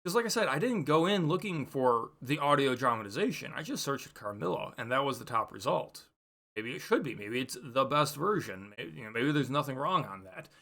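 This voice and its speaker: A man giving a casual, unscripted book review, thinking aloud in a halting, rambling way and offering hedged opinions. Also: medium pitch, sing-song, creaky, pushed and bright.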